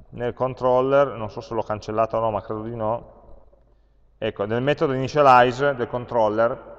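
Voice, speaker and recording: An Italian man teaching a programming class, thinking aloud as he types code, with frequent pauses and fillers. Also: mid-range voice. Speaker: university lecturer. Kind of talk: live-coding programming lesson. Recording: dull lo-fi lecture capture with long hall reverb.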